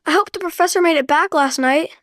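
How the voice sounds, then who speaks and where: A woman's voice: extremely high pitch, quick pace, a bit bright, no room sound, studio quality. A woman voicing a cheeky young girl in a radio play, teasing and blunt.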